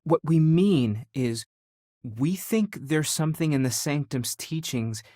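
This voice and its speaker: Video game role: a young, earnest spiritual scholar exploring space. Thoughtful and conversational, close dry studio sound. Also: male voice, on the high side, takes it slowly, dark tone, no echo. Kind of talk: video game character dialogue.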